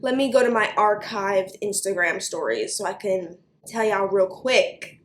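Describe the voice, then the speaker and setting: A young woman with a New York accent, ranting to camera for her vlog in an animated, chatty way with plenty of "like". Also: mid-range voice, roomy sound, a little hiss or hum. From United States. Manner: animated, fast, chatty with many likes. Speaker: beauty vlogger.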